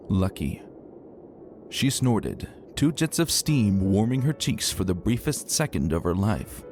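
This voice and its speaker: A man narrating a dramatic story in an expressive, emphatic and colloquial way. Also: on the low side. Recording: soft music behind narration.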